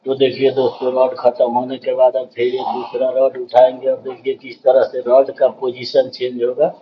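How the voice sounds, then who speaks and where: A man's voice: medium pitch, completely flat delivery, moderate pace, slight background noise. Man explaining welding, lo-fi sound. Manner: repeats "dekhiye" and "doston" often, explanatory. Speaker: welding channel host. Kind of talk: explaining welding over filmed work.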